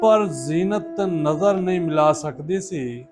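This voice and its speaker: A man narrating a moral story in a solemn, emotive, deliberate way, as a storyteller would. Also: fairly high pitch. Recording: loud flute music under voice. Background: loud instrumental flute music.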